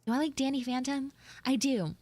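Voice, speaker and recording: A woman voicing a flustered, grudging tsundere frog girl in a live audio roleplay, speaking casually with halting stammers. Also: high-pitched, warm tone, no room sound. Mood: awkwardly apologetic. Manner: halting, stammering, drawn-out delivery.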